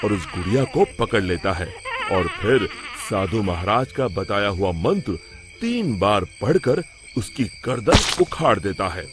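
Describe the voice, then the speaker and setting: A man's voice: on the low side, background noise. A man narrating a scripted moral story as a storyteller. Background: background music.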